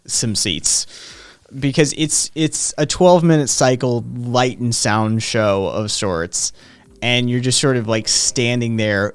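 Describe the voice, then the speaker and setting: A man's voice: medium pitch, smooth, dry recording. A man hosting a live-streamed talk show, speaking casually with many hesitations and answering viewers' comments. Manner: hesitant, many "um"s, reads chat aloud. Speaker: podcast host. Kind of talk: casual chat.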